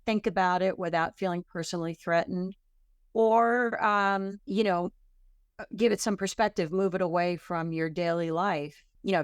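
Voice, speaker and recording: A woman's voice: on the low side, smooth, dry recording, studio quality. A woman guest in an interview, answering in a chatty way with many fillers and restarts. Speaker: author and klezmer singer, guest.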